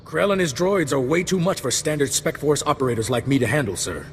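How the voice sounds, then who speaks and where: Earnest army briefing guy for a game, formal, highish voice, echoey hall. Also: lively intonation, a little fast, a little hiss or hum. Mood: businesslike. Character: dutiful, earnest male military special forces operative. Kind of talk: video game cutscene acting.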